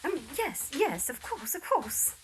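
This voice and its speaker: A woman as a very high, fluttery young lady. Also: sing-song, measured pace. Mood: flustered, obliging. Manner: fluttery, stammering, repeats words. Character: nervous, excitable young aristocratic lady companion. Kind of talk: period audio drama acting. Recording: voice over clear background noise.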